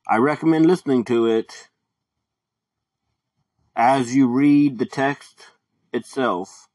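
A man hosting a podcast, giving a scripted introduction in a measured, deliberate way. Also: mid-range voice, darkish tone. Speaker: podcast host.

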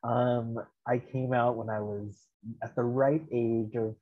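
Husky low young man, lo-fi sound. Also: little pitch movement, takes it slowly. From Philippines, raised in the US. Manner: reflective, candid, laughs at himself. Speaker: podcast guest. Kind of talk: casual personal storytelling.

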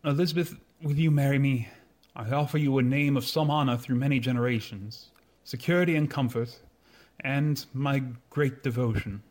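An actor playing a proud, earnest gentleman suitor in a radio drama, speaking in a formal, measured manner. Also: medium pitch, natural voice. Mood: pleading devotion.

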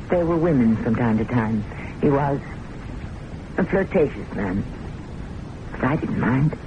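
Through a muffled, hissy old radio recording comes an intense, brooding secretary. She is a weary, lovelorn woman who trusts nobody, voiced in classic radio drama style. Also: deep voice.

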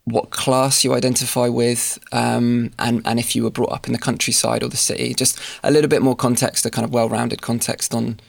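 A man hosting a podcast interview, asking questions in a halting way with many ums and restarts. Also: medium pitch, flat delivery, gravelly, no echo. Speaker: podcast host.

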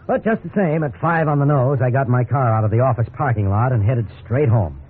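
A man's voice: mid-range voice, a little fast, natural voice. An actor playing a breezy, incredulous American salesman husband in a 1950s radio drama, wry and conversational. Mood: wry, uneasy. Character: cheerful, incredulous suburban husband, an encyclopedia salesman. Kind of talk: 1950s radio drama acting with wry first-person narration. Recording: tinny, hissy 1950s radio recording.

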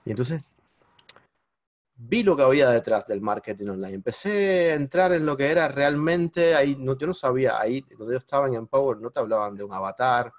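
A man with a Cuban accent, a podcast guest telling his story in lively casual conversation and bursting into high exclamations at the big moments. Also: brisk, no room sound. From Cuba. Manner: animated, jumps into high-pitched exclamations when retelling moments.

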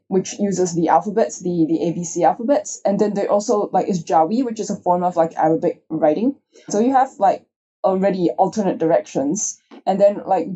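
A woman's voice: on the low side, warm tone, husky, muffled recording. A woman from Malaysia chatting casually and enthusiastically as a guest developer, telling stories in a rambling way. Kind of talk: casual conversational talk.

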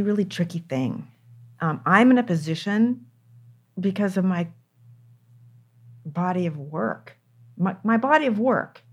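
An older woman, a screenwriter, talking candidly in an interview, stressing her points by repeating words. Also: on the low side. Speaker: screenwriter guest.

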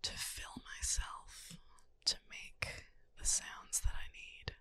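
A woman co-hosting a podcast in a soft whisper, speaking frankly and crudely, close to a special ASMR microphone, with a voice she says she often strains. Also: takes it slowly. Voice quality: strains her voice. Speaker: podcast co-host.

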